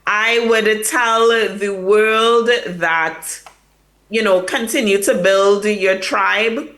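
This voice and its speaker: A woman's voice: mid-range voice, extremely slow, fairly bright tone. A woman publicist answering interview questions over a remote link, earnest and reflective, with fillers and restarts. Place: hotel or room in New York, remote link.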